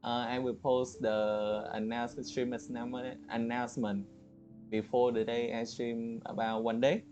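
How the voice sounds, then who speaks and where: Male streamer over loud game music. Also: medium pitch, little pitch movement, normal speed, lo-fi sound. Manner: reactive, interjecting, repeats words. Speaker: game livestreamer. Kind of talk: casual live gameplay commentary.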